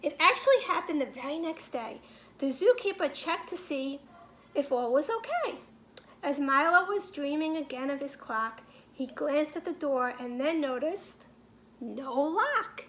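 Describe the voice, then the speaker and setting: A woman reading a children's picture book aloud in an animated, emphatic storytelling manner with deliberate phrasing, like a teacher. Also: sits high, a little hiss or hum.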